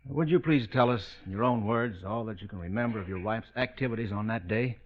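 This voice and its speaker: An actor playing a formal, polite coroner questioning a witness in a 1940s radio drama. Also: male voice, fairly low pitch, brisk, close to an everyday voice. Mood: polite, official. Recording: dull old radio recording.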